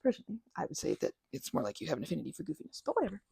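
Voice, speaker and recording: A woman plays a goofy, caring best friend chatting in an intimate ASMR roleplay. Her low voice is soft and breathy, with some creak at the edges. She rambles haltingly and often interrupts herself, scatterbrained but kind. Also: sing-song, no echo, heavily acted. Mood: playful.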